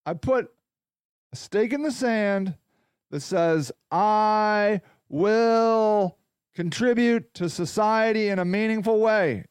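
A man hosting a podcast in a loud, profane, ranting monologue. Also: high-pitched, clean tone, dry recording. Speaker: podcast host. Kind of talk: casual monologue.

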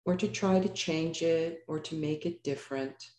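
A woman leading a guided meditation as a yoga nidra teacher, speaking calmly in measured, unhurried phrases with pauses. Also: deep voice, reverberant, slight background noise.

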